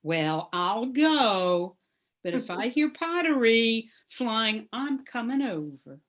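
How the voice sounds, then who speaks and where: A woman's voice: on the high side, big pitch swings, slow, fairly bright tone, heavily acted. A woman playing a supportive, wry, protective friend in an amateur radio play.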